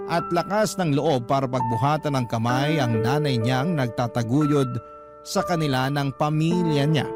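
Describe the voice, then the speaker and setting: Compassionate, earnest male letter-writer narrator, played by an actor, fairly high voice, radio drama under prominent music. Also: natural voice. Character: troubled, compassionate letter writer recounting a painful story. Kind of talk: dramatic scripted first-person radio narration. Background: loud music.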